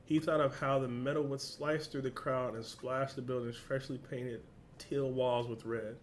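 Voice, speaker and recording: A man's voice: medium pitch, little pitch movement, normal speed, a little hiss or hum. A man with a casual, slangy, informal way of talking, hosting a reaction video and reading along.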